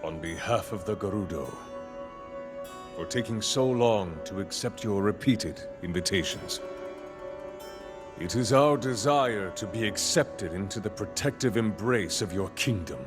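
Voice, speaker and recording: A slow, deep, menacing warlord king. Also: animated, over music. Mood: feigned reverence. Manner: formal, measured, slyly deferential. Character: proud scheming warlord king, falsely humble, menacing. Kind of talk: dramatic video game cutscene acting.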